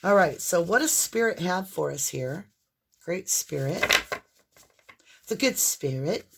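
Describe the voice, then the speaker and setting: A woman with a hoarse voice and a mixed Southern and Northern American accent, giving a casual, rambling tarot reading straight to the viewer. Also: low voice, heavy background noise. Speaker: tarot reader.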